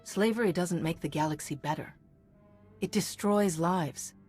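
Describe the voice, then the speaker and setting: A woman voicing a composed, principled heroine in a video game, measured and earnest. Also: lowish voice, a little mellow, faint music behind, natural voice. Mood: calm.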